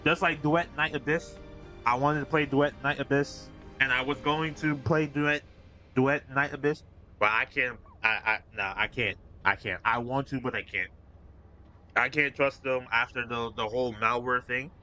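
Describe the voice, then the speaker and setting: A male streamer chatting loosely with his audience, looping back and restarting his sentences as he goes. His voice is bright and forceful, with faint game music running under it. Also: medium pitch, steady tone, moderate pace. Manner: rambling, restarts sentences, talks to chat. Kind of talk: casual live-stream chat.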